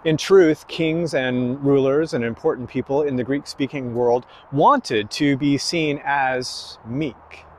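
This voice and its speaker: A man preaching a sermon slowly and emphatically, pausing between phrases. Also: medium pitch, a little hiss or hum. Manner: slow, emphatic preaching with long pauses.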